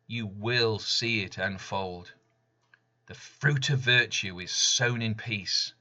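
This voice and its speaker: A man reading his own poem deliberately, in short emphatic phrases with pauses. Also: mid-range voice, bright, forceful tone, muffled recording. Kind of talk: poetry recitation.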